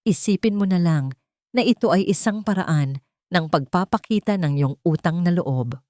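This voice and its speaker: A woman's voice: lowish voice, expressive, normal speed, mellow, dry recording, studio quality, lightly acted. A woman voicing a menacing, falsely sweet wealthy matriarch in an audio drama. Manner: controlling, deceptively sweet turning icy.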